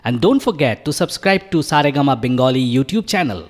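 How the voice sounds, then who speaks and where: A man's voice: on the high side, lively intonation, very slow. A man reading a scripted promotional announcement as the announcer of a show. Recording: long reverb, music underneath. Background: faint background music.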